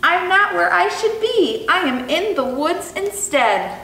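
A woman reads as a little lost storybook turtle with a high, swooping voice. He is plaintive and polite, yet insistent, in a roomy recording with faint noise. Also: sing-song, unhurried, pushed and bright, recorded from a distance, full character voice. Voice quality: tiny voice. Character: lost, tearful little baby sea turtle, male. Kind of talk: expressive children's storybook character reading.

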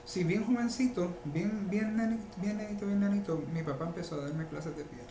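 Higher-voiced Puerto Rican man, echoey room. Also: brisk, dark tone. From Puerto Rico and the mainland United States. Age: middle-aged. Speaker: interview guest, luthier and musician. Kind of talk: casual interview talk. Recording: echoey room, faint background noise.

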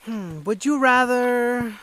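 A woman's voice: fairly high pitch, lively intonation, slow pace, a bit bright. A woman playing a watchful, decisive young twin adventurer in an audio drama. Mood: musing.

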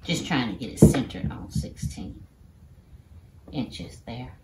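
A woman's voice: a bass voice, animated, takes it slowly, heavy background noise. A middle-aged woman talking through a woodworking project step by step in her home workshop, chatty and casual, often saying "y'all". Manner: chatty, explains each step, says "y'all". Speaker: DIY woodworking creator. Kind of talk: casual how-to narration while building. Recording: echoey workshop room.

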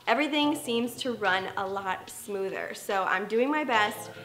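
A woman's voice: mid-range voice, lively intonation, unhurried, fairly bright tone. A young woman and mother talking casually to the camera at home, chatty and upbeat, often saying "like". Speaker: vlogger, mother. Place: home kitchen, indoors. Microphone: camera at table distance. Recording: echoey room with music behind.

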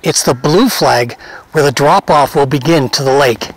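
A man's voice: on the high side, moderate pace, low-quality recording. A man hosting an informal video update, interviewing and narrating as he goes. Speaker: host and interviewer. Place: outdoors on a golf course under construction.

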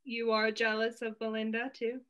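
A woman's voice: on the high side, level tone, moderate pace, a bit bright, natural voice. A young woman playing a pert, provoking lady's companion in a Restoration stage comedy over a video call. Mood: teasing. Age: young adult.

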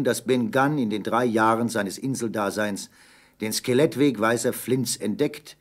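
A man narrating an adventure audio play as a scripted, dramatic storyteller. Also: mid-range voice.

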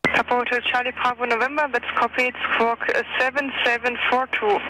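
A woman's voice: a little high, flat delivery, brisk. A woman air traffic controller speaking clipped phraseology over an aircraft radio. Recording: noisy aircraft radio transmission.

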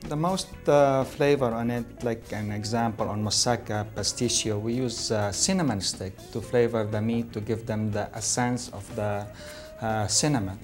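A middle-aged man, a restaurant chef, explaining a recipe in halting full of pauses. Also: on the low side, steady tone, soft background music. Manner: halting non-native English with many uhs. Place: studio kitchen set.

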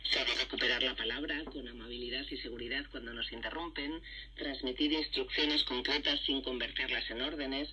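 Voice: medium pitch, fairly even intonation, fast, pushed and bright. A radio presenter reading scripted narration, heard over a shortwave radio. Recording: muffled, noisy shortwave broadcast through small speaker.

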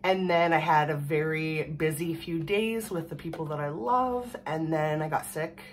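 Low, hoarse woman chatting casually. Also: normal speed, a little hiss or hum. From Canada. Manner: rambling, chatty, laughs often. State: hoarse from a cold. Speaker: vlogger. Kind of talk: casual chat to camera. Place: small room at home, indoors. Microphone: camera in front at desk distance.

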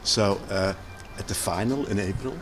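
A man's voice: on the low side, normal speed, background noise. A man interviewing a guest, asking questions with frequent restarts and repeated words. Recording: music playing behind the voice. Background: background music.

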